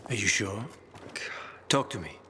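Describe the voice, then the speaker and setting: A seasoned survivor in a video game, wry and gruffly reassuring, with a sharp, forceful edge. His voice rings in a long hall reverb over background noise. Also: on the low side, lively intonation, a little slow, full character voice. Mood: concerned. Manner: wry, urging.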